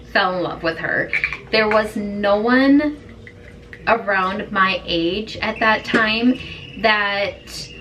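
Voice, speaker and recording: A woman beauty vlogger with a hoarse, raspy voice, chatting in an easygoing, rambling way while doing her makeup at home. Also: mid-range voice, a bit bright, distant mic, a little hiss or hum, low-quality recording. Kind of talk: chatty get-ready-with-me talk.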